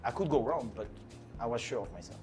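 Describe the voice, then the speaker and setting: A man's voice: medium pitch, fast talker, a little mellow, faint music behind. A man from France with a French accent, a DJ chatting casually in an interview, with lots of fillers and restarts. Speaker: DJ and producer guest.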